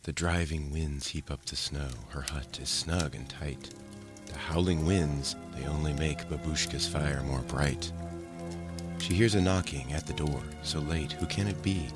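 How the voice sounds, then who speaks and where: A man reciting a narrative poem in storybook style, with a measured verse delivery. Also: extremely low pitch. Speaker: poem reader. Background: music.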